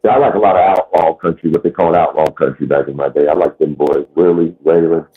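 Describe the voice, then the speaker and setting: A man's voice: very deep voice, fairly even intonation, fast talker, slightly rough. A man in his late forties chatting casually as host, laid-back and swearing now and then. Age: 40s. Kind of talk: casual chat. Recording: tinny phone-like line.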